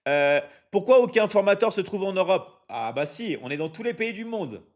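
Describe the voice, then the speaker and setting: A man from France hosts a live stream for his online academy. He talks at a slightly raised pitch, keeps repeating his points, and often stops to ask his viewers "ok?" between filler sounds. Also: normal speed. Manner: energetic, repetitive, drops many fillers and asks "ok? d'accord?". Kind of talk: live stream sales pitch reading chat.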